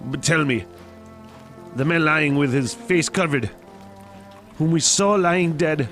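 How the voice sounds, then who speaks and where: An actor playing a frightened, repentant old miser in an audio drama, pleading with dramatic urgency. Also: male voice, a little high, slow. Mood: dread, pleading. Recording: echoey reverberant hall, loud music behind.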